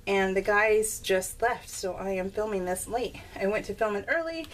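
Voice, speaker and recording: A woman's voice: mid-range voice, normal speed, low-quality recording. A middle-aged woman chatting casually and unscripted to viewers as a craft vlogger, in a giggly, rambling way. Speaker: craft vlogger presenting her scrapbook layouts. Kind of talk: casual, unscripted chat to viewers while showing a scrapbook.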